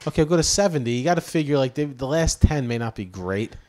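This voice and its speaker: A man's voice: mid-range voice, lively intonation, fast, a little mellow, no room sound. A comedian in his forties talking in an earnest, hesitant way, full of fillers. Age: 40s. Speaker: comedian and podcast co-host. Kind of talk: casual chat.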